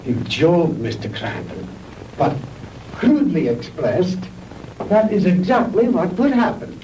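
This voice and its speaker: Slow, pompous mad scientist. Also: male voice, sits high, huge pitch swings, dark tone, full character voice. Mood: smug, superior. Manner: pompous, lecturing, theatrical deliberate pauses. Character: proud, vengeful mad scientist from a defeated wartime nation. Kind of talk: 1960s B-movie sci-fi acting. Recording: distant, noisy old 1960s film soundtrack.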